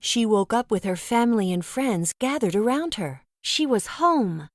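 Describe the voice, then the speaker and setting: A woman's voice: a little high, expressive, moderate pace, dry recording, clean studio sound. A woman narrating a children's storybook as a scripted read-aloud.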